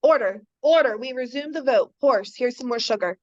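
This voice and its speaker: A woman's voice: on the high side, normal speed, dull audio, natural voice. A woman playing a sardonic scheming baboon. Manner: dry, sardonic, dismissive. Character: clever scheming male baboon. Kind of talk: amateur radio drama table read.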